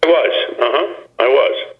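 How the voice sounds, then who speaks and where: A man's voice: medium pitch, unhurried. Older man rambling over a dull phone line. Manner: rambling, reflective, many restarts. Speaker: actor guest. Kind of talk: phone-in interview.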